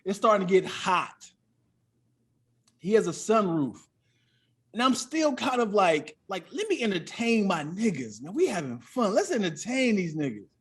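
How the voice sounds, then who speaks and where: A man's voice: high-pitched, moderate pace, slightly rough. A man who is a comedian guesting on a podcast, talking in a casual comic storytelling style and acting out dialogue. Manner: animated, acts out quoted dialogue.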